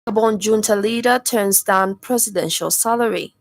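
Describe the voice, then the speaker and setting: A woman's voice: medium pitch, moderate pace, over music. A woman with a Zambian accent reading a formal scripted radio news bulletin. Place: radio studio.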